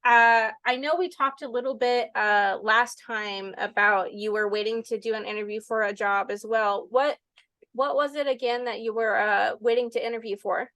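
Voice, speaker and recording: A woman with a slightly higher voice hosts a video-call interview. She asks friendly questions with plenty of fillers and laughs. The sound is dry, with no room around it. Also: steady tone, moderate pace, fairly bright tone, no echo. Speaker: YouTube channel host, interviewer. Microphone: laptop on a video call.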